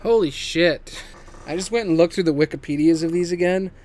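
Male host, voice a bit on the high side, loose off-the-cuff monologue, sweary and excitable. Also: brisk. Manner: heavy casual swearing, excitable emphasis. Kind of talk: casual unscripted rambling monologue.

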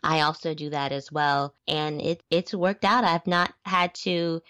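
A woman's voice: deep voice, little pitch movement, measured pace, pushed and bright, clean tone, no room sound, studio quality. A woman with a youthful-sounding voice, chatting casually as a podcast guest, restarting words and laughing often. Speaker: guest, small business founder. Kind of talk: podcast interview, casual storytelling.

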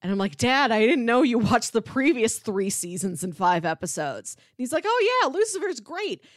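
A woman's voice: a little high, big pitch swings, normal speed, no echo. Woman podcasting in a dry, close sound. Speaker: podcast co-host. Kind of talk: casual chat, pitching shows.

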